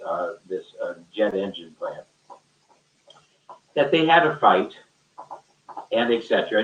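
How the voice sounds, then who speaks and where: Distant, echoey man telling a rambling story. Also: mid-range voice. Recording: roomy echo, distant mic, muffled sound.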